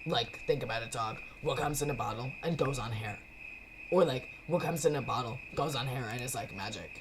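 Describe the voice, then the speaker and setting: A woman voicing a laid-back, slangy cat schemer who rambles eagerly in halting bursts, as in a game. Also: extremely low pitch, noisy background, voice pushed down. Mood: rambling, scheming. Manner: halting, stoner-like slang delivery.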